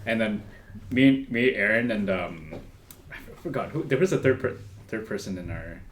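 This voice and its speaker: A young man hosting a casual podcast, an animated storyteller who laughs and shouts when excited. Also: fairly low pitch, moderate pace, a little hiss or hum. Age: young adult. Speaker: podcast host. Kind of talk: casual chat.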